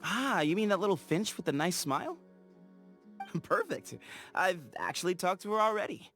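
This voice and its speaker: An actor voicing an easygoing young desert scout in a game, talking in an upbeat, playful way. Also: male voice, high-pitched, very animated, moderate pace, a bit bright, faint music behind. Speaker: game character. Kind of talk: game voice lines.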